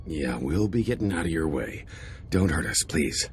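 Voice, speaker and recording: A man's voice: very deep voice, expressive, normal speed, faint music behind. A man voicing a convict thug in a game cutscene. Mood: craven. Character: bullying convict thug, craven when outmatched.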